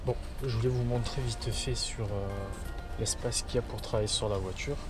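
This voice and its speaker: A man's voice: mid-range voice, fairly even intonation, normal speed, music in the background. A man from southwestern France chatting casually to camera as a vlogger, informal and slangy with plenty of swearing. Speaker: car vlogger.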